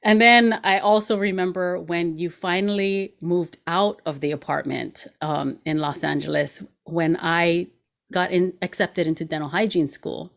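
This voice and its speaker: A woman's voice: lowish voice, unhurried, creaky. A woman in her forties hosting a show, chatting casually in an informal, giggly way. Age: 40s. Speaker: show host. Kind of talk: casual conversation.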